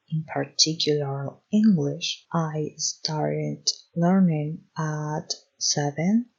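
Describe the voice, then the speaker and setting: Low, husky woman. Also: muffled recording. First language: Spanish. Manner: unhurried, searching for words in her second language. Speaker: podcast host. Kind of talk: solo podcast monologue.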